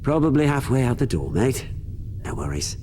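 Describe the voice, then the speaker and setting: Man playing a smug villain, wildly swooping pitch. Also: sing-song, moderate pace, background noise, close to an everyday voice. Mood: mocking. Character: taunting, smug male crime boss villain. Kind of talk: dramatic video game acting.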